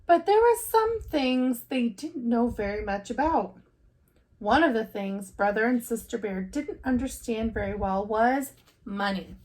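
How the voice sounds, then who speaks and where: A woman narrates a children's storybook in the style of a teacher reading aloud. Her voice sits fairly high. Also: expressive, measured pace, a little mellow, low-quality recording.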